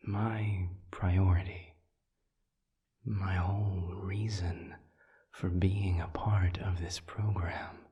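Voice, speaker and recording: A man playing a calm, considerate demon in an intimate audio roleplay, speaking in a breathy near-whisper with measured, formal, gentle phrasing. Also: sits low. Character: calm, considerate male sadism demon offering comfort.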